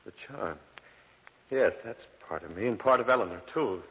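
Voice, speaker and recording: An actor playing a desperate, horrified trapped man in a 1940s radio drama, melodramatic, moving between hushed dread and pleading. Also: fairly low pitch, expressive, normal speed. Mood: anxious, grasping hope. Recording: muffled old radio recording, cavernous reverb.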